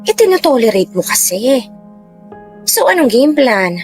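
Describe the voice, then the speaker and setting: A woman's voice: high-pitched, big pitch swings, measured pace, soft background music. A woman playing a teasing middle-aged mother with a Filipino accent, in colloquial radio drama style. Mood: wry, guarded. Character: middle-aged mother, teasing, secretly rivaling her daughter.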